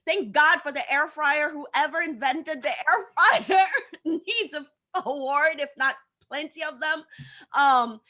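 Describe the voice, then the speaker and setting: Bright high-voiced Haitian-born woman, chatty. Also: takes it slowly. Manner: upbeat, chatty, laughs often. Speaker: guest, social media coach. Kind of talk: casual talk-show interview. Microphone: video call.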